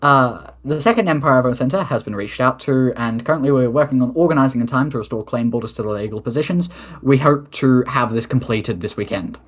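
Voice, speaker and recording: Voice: medium pitch, brisk. A speaker taking submitted questions in a mock-formal political address, reading official statements with casual asides. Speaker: head of government of an online role-play nation.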